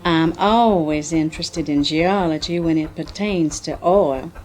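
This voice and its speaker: A woman's voice: low voice, measured pace, darkish tone, rough recording. A woman playing a confident, shrewd and ambitious oil businesswoman in an audio drama, poised and assertive. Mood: sultry, commanding.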